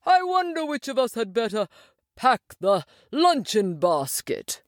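A woman reading a storybook aloud, voicing a cheerful, sociable male water rat who gossips in a brisk, chummy manner. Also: fairly high pitch, sing-song, no echo, studio quality, pitched above the performer's own voice. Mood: gossiping. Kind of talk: storybook voice acting.

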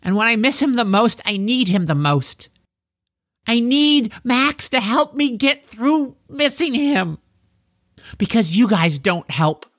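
Guy doing a sad earnest kid in an audiobook, high voice, pleading, swings all over. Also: sing-song, slow pace, a bit bright, no echo, studio quality, heavily acted. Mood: hurt, angry. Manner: emotional, pleading. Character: earnest, grieving boy who talks like a young knight. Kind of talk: children's audiobook character voice.

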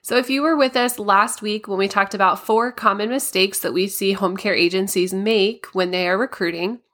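A woman hosting a solo podcast, a little raspy and hoarse from a cold, explaining things in a practical, step-by-step way. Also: mid-range voice, steady tone, normal speed, fairly bright tone. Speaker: podcast host.

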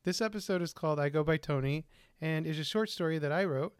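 Guy doing a podcast intro, kinda high voice, informal and chatty, dry sound. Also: fast, clean tone, no room sound. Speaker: podcast host and writer.

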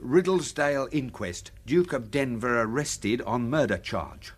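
An actor playing a deferential, composed gentleman's valet in a radio drama, formal and measured, with an English accent. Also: medium pitch, expressive.

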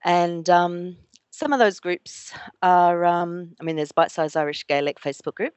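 A woman's voice: lowish voice, steady tone, measured pace, darkish tone, smooth, dry recording. An Australian woman talking as a podcast guest in casual, meandering chat, full of fillers and restarts. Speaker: guest, Irish language learner.

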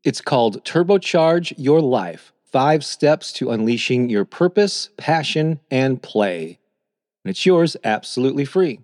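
A middle-aged man gives a calm, encouraging self-help talk that he wrote and reads himself. His voice sits in an ordinary middle range, neither high nor low, and he speaks at an unhurried pace. The recording is close and dry, with no room sound. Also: no room sound. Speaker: self-help podcast host. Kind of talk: scripted motivational narration.

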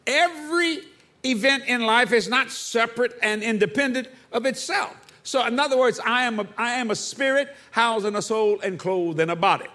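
Preacher man, high bright voice, call-and-response sermon, quizzes the congregation. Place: church.

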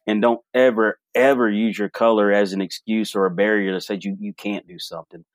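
A man talking as a podcast guest, telling stories in a relaxed, animated way with frequent restarts. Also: lowish voice, brisk, dry recording. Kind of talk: casual storytelling interview.

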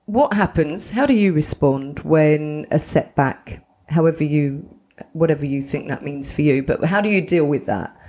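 A woman's voice: low-pitched, moderate pace. A woman co-hosting a podcast with her daughter, asking question after question and circling back on her points. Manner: warm-hearted, asks many questions, repeats herself. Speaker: podcast co-host, mother of the other host. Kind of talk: casual podcast chat.

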